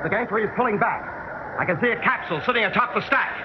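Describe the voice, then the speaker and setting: An American actor playing an urgent, probing radio news correspondent in a vintage radio drama. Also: male voice, sits high, fast, close to an everyday voice. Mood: excited, urgent. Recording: noisy, muffled vintage radio recording.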